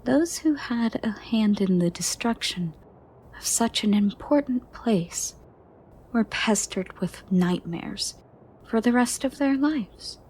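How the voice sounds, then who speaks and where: Woman as a soft, slow ancient monster girl. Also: a little high, expressive, a little hiss or hum. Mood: wistful storytelling. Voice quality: soft, breathy, partly whispered. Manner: slow, formal, caring, slightly stern. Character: gentle, ancient female shadow monster living under a bed. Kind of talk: soft-spoken ASMR roleplay.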